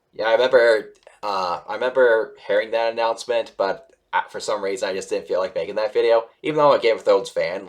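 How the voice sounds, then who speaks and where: A man hosting a casual news commentary video, reading aloud and reacting in a halting, rambling, self-correcting, somewhat apologetic way. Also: lowish voice. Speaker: YouTube host reviewing animation news.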